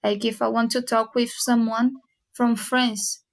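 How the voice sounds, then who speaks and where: A woman from Brazil, a student guest on a podcast, speaking English with a Brazilian accent and pausing to find her words. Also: a little high, level tone. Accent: Brazilian Portuguese. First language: Portuguese. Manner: searching for words, halting. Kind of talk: casual podcast chat.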